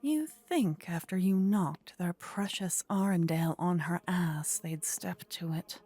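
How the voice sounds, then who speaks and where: A woman playing a possessive, warlike demon queen in an intimate ASMR roleplay, speaking in a breathy whisper with measured, formal, regal phrasing. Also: on the low side, mellow. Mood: seething, displeased.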